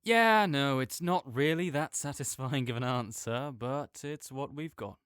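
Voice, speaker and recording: A man's voice: mid-range voice, unhurried, fairly bright tone, clear, resonant tone, dry recording, clean studio sound. A young man in an audio roleplay, talking as a supportive friend in a playful, reassuring way.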